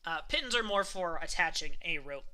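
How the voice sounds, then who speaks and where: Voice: mid-range voice, expressive, brisk, sharp tone, clear, resonant tone. A game master casually narrating a tabletop role-playing game and explaining things informally.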